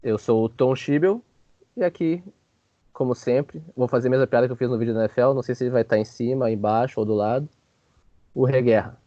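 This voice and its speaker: A man's voice: mid-range voice, a little fast, mellow, no echo, muffled recording. A man hosting a sports show with casual, joking basketball commentary full of informal filler words.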